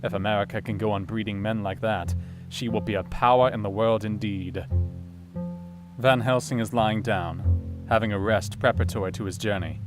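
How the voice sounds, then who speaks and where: Guy as victorian doctor doing his diary, audio drama, lowish voice, sombre n thoughtful, guitar music behind. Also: fairly even intonation, normal speed, close to an everyday voice. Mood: sombre, admiring.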